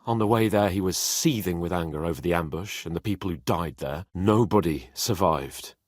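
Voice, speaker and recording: A man's voice: low voice, normal speed, a little mellow, no echo. An actor voicing a grave, blunt medieval nobleman in a video game, with a dry manner of speaking.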